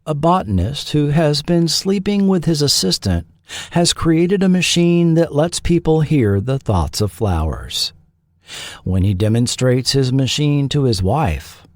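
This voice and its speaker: A man narrates a scripted documentary with deliberate care. His pitch moves expressively while the pace stays slow, and the recording is close and dry. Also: a little mellow, no echo. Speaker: podcast host and narrator.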